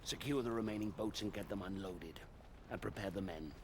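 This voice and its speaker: A middle-aged man playing a stern Roman army commander who speaks in a measured, authoritative way. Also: lowish voice, close to an everyday voice. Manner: measured, authoritative, sharp rebukes. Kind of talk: video game cutscene acting. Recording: noisy background.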